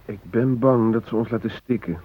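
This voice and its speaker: An actor playing an anxious but resigned hostage in a radio drama, reflective and hesitant, with wry fatalism. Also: male voice, on the low side, moderate pace, lightly acted. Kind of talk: naturalistic radio drama acting. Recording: noisy, narrow-band old radio recording.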